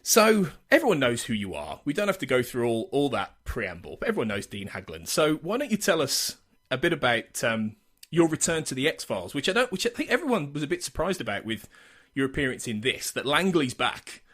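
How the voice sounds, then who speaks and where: A man's voice: mid-range voice, big pitch swings, brisk, fairly bright tone. Eager, stammering male podcast host. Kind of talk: podcast interview.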